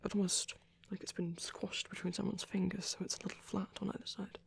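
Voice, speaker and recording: A man voicing a gentle, caring vampire priest in an ASMR roleplay, speaking in a soft, breathy whisper with unhurried, hesitant pauses. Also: high-pitched, level tone, exaggerated voice. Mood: soothing, reflective. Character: gentle, caring male vampire priest comforting a little one. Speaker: ASMR performer.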